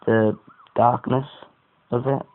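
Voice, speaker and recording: A man with a croaky voice giving a casual, rambling, off-the-cuff product review as an amateur. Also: steady tone, slow. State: croaky voice today. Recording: muffled phone-like sound, faint rumbling noise.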